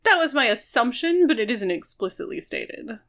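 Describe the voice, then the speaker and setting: A woman's voice: on the high side, sing-song, normal speed, fairly bright tone. A woman co-hosting a podcast, casually discussing a book and explaining her reading at length in an analytical way. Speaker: podcast co-host.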